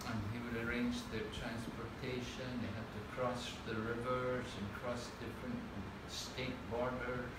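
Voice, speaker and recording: Older guy giving a talk in a temple, short measured phrases, far off in a roomy hall, some hiss. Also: medium pitch, flat delivery. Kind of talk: lecture with consecutive interpretation. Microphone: room camera at a distance. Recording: echoey room from far off, with noise.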